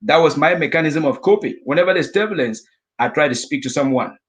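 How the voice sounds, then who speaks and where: Animated African man telling a story. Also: on the high side, fast talker, muffled recording. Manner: animated storyteller, voices his inner thoughts in asides. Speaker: guest speaker sharing a personal story. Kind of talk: storytelling talk.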